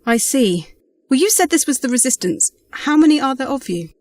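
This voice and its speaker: Audio drama companion, played by a woman: young, high-pitched, animated, eager, trusting and inquisitive. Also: normal speed. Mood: curious, earnest. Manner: earnest, inquisitive.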